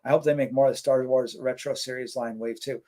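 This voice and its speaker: A man's voice: mid-range voice, fairly quick. A man co-hosting a casual live stream, reading out chat comments and rambling with lots of fillers. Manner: relaxed, rambling, many fillers.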